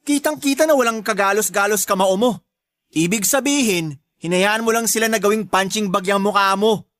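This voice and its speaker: An actor playing a hot-headed, mocking older brother in a Tagalog radio drama, scornful and taunting. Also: male voice, sits high, normal speed, fairly bright tone, dry recording.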